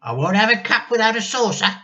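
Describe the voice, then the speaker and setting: A man's voice: sits high, lively intonation, a little fast, sharp tone, highs cut off, pitched above the performer's own voice. A man voicing a grumpy, bossy, selfish old grandmother in a children's storybook, complaining and snappish. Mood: demanding, snappish. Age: older.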